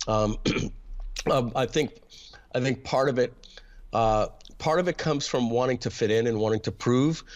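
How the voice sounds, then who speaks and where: A man, telling a personal story as an interview guest, hesitant, with frequent throat clearing and filler words. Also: medium pitch, measured pace. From New York. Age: 50s.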